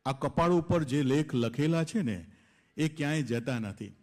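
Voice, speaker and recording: A man's voice: a little high, expressive, a little slow, darkish tone. A man working as an event anchor, addressing an audience with light humour in a conversational, questioning manner. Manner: conversational, rhetorical questions to listeners. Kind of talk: humorous stage anchoring to an audience.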